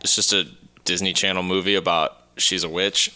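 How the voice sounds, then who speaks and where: A man's voice: low voice, flat delivery, normal speed, bright, forceful tone. A man co-hosting a podcast with casual banter and a blunt, irreverent manner. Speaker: podcast co-host.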